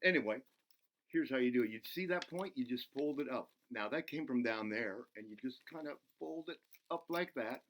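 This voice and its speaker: Man playing an excitable goofy buzzard kids' host, swooping pitch. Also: moderate pace, lightly acted. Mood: playful, encouraging. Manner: giggly, self-interrupting, talks to himself in third person. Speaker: children's show host. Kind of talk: playful craft demonstration for children.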